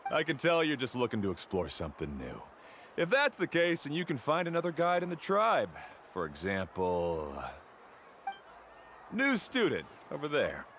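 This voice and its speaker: An actor playing an arrogant, dismissive guide-for-hire in a video game, with a haughty, scornful manner. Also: male voice, fairly high pitch, big pitch swings, moderate pace, fairly bright tone, slight background noise. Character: arrogant, dismissive, mercenary male island guide.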